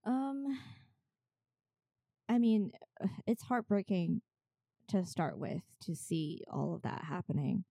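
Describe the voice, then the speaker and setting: A woman's voice: fairly low pitch, slow, creaky, pauses often, no echo, clean studio sound. A young woman from China, chatting casually as an interview guest and acting out bits of dialogue as she tells stories. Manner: animated, acts out reported dialogue. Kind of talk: casual conversational storytelling.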